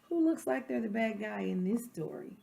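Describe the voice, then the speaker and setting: A woman teacher giving quiet conversational asides during a read-aloud and putting questions to her listeners. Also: fairly high pitch, lively intonation, normal speed, vocal fry. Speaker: sixth grade teacher.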